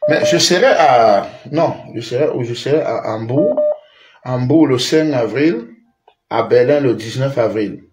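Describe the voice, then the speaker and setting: A man, a singer taking fan calls live, answering calmly with short thanks. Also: medium pitch, unhurried, echoey room, over music. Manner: brief thankful replies, calm. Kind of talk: live call-in chat.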